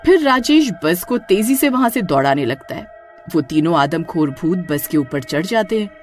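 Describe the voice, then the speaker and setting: A woman's voice: fairly low pitch, animated, normal speed, soft background music. A woman narrating a horror-comedy cartoon story in a dramatic, suspenseful way.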